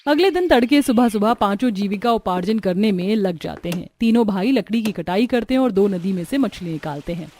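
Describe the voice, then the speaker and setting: A woman's voice: mid-range voice, a little fast, hardly any pauses, darkish tone, slight background noise. A woman narrating a scripted cartoon story as a storyteller.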